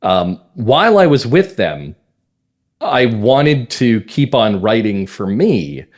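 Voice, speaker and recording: A man's voice: medium pitch, big pitch swings, slow. A middle-aged man talking at length in a conversational interview, explaining things as a guest. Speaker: guest, mystery playwright and script consultant.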